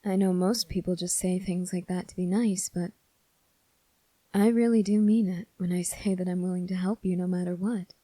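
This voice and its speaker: Woman as kind young male cadet for ASMR roleplay; relaxed dark tone, gentle and reassuring, very dry sound. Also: fairly low pitch, moderate pace, dry recording, lightly acted. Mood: kind, polite. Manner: soft, gentle, reassuring, polite. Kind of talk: ASMR character roleplay, spoken to the listener.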